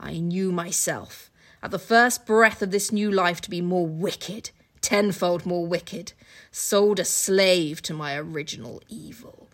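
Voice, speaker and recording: A woman's voice: on the low side, slow pace. A woman co-hosting a casual book podcast, reading aloud and commenting with irreverent, sweary, mocking asides.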